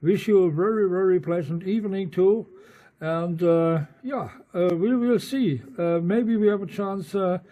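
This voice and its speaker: A non-native English-speaking man, an amateur radio operator, chatting in a halting, hesitant way. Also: high voice. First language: not English. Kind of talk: casual radio chat. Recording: muffled, narrow-band radio audio with hiss.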